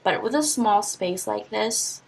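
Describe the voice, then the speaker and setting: A woman in her twenties, a teacher, talking through a stationery unboxing in a relaxed, rambling way full of fillers and restarts. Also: medium pitch, measured pace, creaky, slightly rough, rough recording. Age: 20s. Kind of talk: casual unboxing vlog.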